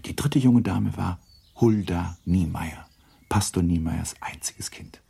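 A man narrating a literary radio drama in a measured, deliberate storytelling manner, with a gravelly, husky voice. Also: low voice, mellow, exaggerated voice. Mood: measured, descriptive. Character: measured narrator of a classic novel.

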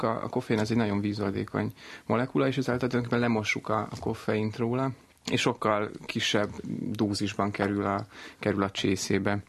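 A man speaking as an expert guest in an interview, explaining casually with light, informal humor. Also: on the low side.

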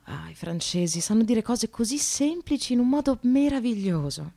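A woman's voice: fairly high pitch, big pitch swings, moderate pace, creaky, mellow, exaggerated voice. An actress playing an ironic, anxious woman in precarious work, confiding her life in a wry, theatrical radio monologue. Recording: radio play with music behind.

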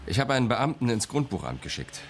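An actor playing a composed, matter-of-fact police captain in a radio crime drama, giving an orderly briefing. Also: male voice, medium pitch, sing-song, quick pace, a little hiss or hum. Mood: factual briefing.

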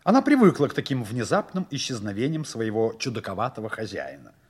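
A man's voice: medium pitch, big pitch swings, a little fast, slightly rough. A man narrating a 1960s radio play in a scripted storytelling style. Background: music.

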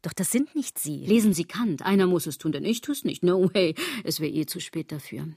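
Husky, low-voiced sardonic woman. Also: lively intonation, brisk, mellow, dry recording, clean studio sound. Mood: mocking, emphatic. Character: sardonic, restless woman lecturing on fashion and appearance. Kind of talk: radio drama monologue.

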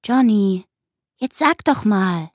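A dreamy little girl in a radio drama, naive and intimate. Her voice is husky and breathy, unhurried, and recorded very dry and close. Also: medium pitch, animated, no echo. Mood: coaxing, intimate. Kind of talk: German radio play acting.

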